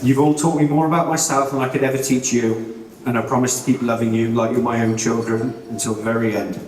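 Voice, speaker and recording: A man's voice: medium pitch, flat delivery, quick pace. A man in his thirties speaks as the groom, heartfelt but slipping in dry jokes. He is heard from across a large hall with echo and a long reverb tail. A faint background hiss sits under the room. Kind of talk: wedding speech. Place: large reception room at a wedding. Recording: echoey hall, voice from far off.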